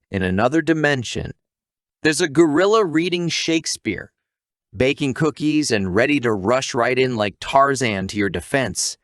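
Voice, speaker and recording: A man's voice: mid-range voice, a bit bright, dry recording, clean studio sound. A man narrating a scripted comic story recap in a conversational, wisecracking way, with asides to the listener.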